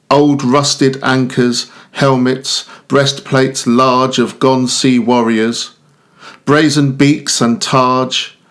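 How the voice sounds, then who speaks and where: A man giving a measured, expressive reading of Romantic narrative verse. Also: mid-range voice. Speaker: poetry reader.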